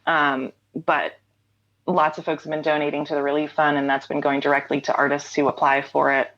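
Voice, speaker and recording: A woman's voice: sits low, little pitch movement, brisk, a bit bright, highs cut off. A woman guest speaking candidly in a casual interview, reflective, with frequent ums and restarts. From North Carolina. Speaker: interview guest, singer-songwriter.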